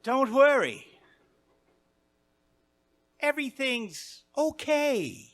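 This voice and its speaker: A man's voice: extremely high pitch, very animated, drawn-out words, fairly bright tone, full character voice. An actor playing a shifty man on the phone who brushes off a call, sounding falsely casual. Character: nervous man who claims a wrong number.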